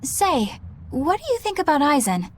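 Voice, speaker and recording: A proper young lady knight in a game scene. She is earnest and easily flustered, very high in pitch with lively swings. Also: moderate pace, background noise. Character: prim, formal, earnest young woman knight. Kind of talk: English video game voice acting.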